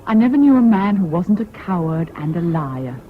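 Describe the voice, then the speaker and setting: A woman's voice: fairly low pitch, sing-song, moderate pace. An actress playing a bitter, scornful, fiercely independent British woman in a 1970s radio drama, with a cutting, sardonic manner. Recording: dull, noisy old radio recording.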